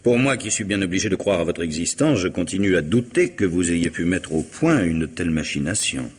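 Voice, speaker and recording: An actor playing a wary, guarded man facing his blackmailer in a radio mystery, speaking in a measured, formal way. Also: low voice, lively intonation, slightly rough. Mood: sceptical. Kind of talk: French radio mystery drama acting.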